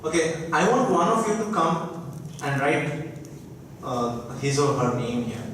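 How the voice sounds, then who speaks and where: A young man with an Indian accent, a native Odia speaker, gives a friendly, informal talk to a class, often stopping to ask the students questions. His voice sits a little high. The sound comes from far off in a very echoey classroom, with clear noise behind it. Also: sing-song, unhurried, fairly bright tone. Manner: chatty, coaxes the class with questions. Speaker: guest lecturer.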